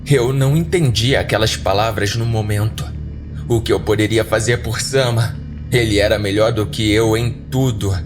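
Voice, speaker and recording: A Brazilian man tells a scripted horror story in the first person. His voice sits on the low side and his delivery is dramatic and deliberate, with music playing clearly underneath. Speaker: horror podcast narrator. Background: background music.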